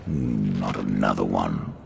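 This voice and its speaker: Grim, gravelly, extremely deep horseman. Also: a little slow, background noise, heavily acted. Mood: sardonic. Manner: dry, sardonic, menacing. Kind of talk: video game cutscene acting.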